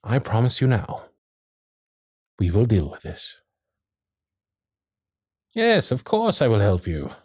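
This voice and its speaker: A man playing a courteous, protective Victorian gentleman suitor in a romantic period ASMR roleplay, with formal, old-fashioned phrasing and dramatic pauses. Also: mid-range voice, wildly swooping pitch, slightly rough, no echo, studio quality, full character voice. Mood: reassuring, resolute. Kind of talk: romantic period ASMR roleplay, intimate one-sided dialogue.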